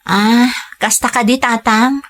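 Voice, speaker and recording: A woman's voice: a little high, steady tone, measured pace, fairly bright tone. A woman with a Filipino accent playing a dutiful, patient young daughter working abroad in a radio drama, speaking in an earnest, conversational way. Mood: uneasy, restrained. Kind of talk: Ilocano radio drama acting.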